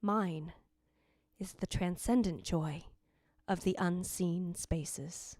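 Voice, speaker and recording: A woman's voice: fairly low pitch, slow, darkish tone. A woman playing the ghost of a remorseful, tender dead wife, narrating in lyrical, formal, theatrical old-time radio style. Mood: serene, exalted.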